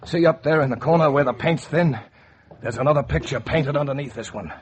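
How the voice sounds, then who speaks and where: An actor playing a hard-boiled, greedy, excitable man in a 1940s radio noir drama. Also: medium pitch, fairly quick, natural voice. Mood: excited, eager. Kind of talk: 1940s radio noir acting and first-person narration. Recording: muffled, noisy vintage 1940s radio recording.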